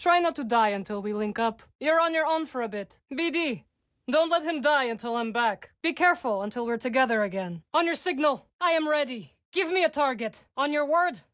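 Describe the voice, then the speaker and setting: A woman's voice: high-pitched, lively intonation, a little fast, fairly bright tone, no room sound, clean studio sound. An actress voicing a proud young witch warrior in a video game, speaking in short, formal, commanding lines. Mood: composed, commanding. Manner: formal, clipped, commanding phrasing. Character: fierce young witch warrior, proud, dry, loyal ally.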